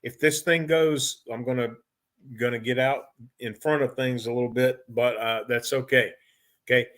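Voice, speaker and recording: A middle-aged man giving an informal technical training lecture in a folksy, halting way, often repeating and rephrasing himself. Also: medium pitch, steady tone, fairly bright tone.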